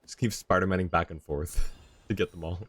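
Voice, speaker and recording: A man's voice: deep voice, steady tone, a little fast, fairly bright tone, breathy edge. A man casually commentating on a game live and chatting with viewers in an easygoing, half-muttering way.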